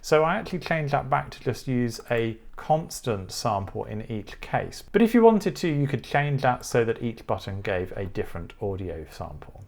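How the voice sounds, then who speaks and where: A man's voice: mid-range voice, sing-song, normal speed, gravelly, few pauses. A man presenting a technical tutorial, explaining each step in a methodical, instructive way.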